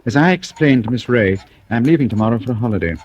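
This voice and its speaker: An actor playing a suave, mocking, cold-blooded British blackmailer in an old-time radio thriller, speaking with urbane deliberation. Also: male voice, mid-range voice, expressive, fast talker, darkish tone, muffled recording, close to an everyday voice. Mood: smooth, businesslike. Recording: noisy vintage radio recording with clicks.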